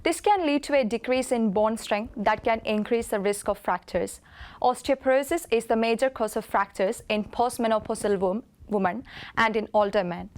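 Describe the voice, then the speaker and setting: A woman's voice: on the high side, moderate pace, a little mellow. A woman in her twenties from India, a medical student reading a lecture presentation steadily, with an occasional slip. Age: 20s. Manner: reads steadily from slides, occasional slips.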